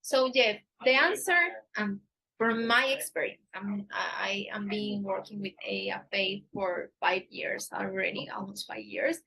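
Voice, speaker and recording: A woman's voice: mid-range voice, unhurried, vocal fry, bright, forceful tone, husky, low-quality recording. A Colombian woman with a Paisa accent, a native Spanish speaker, giving earnest advice on a live Q&A in careful English full of fillers. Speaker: dating agency staff on a live Q&A.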